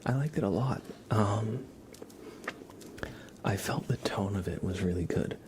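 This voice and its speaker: A man doing casual ASMR live-stream chat in a soft, whispery, hushed voice, rambling informally. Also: fairly low pitch, unhurried, noisy background.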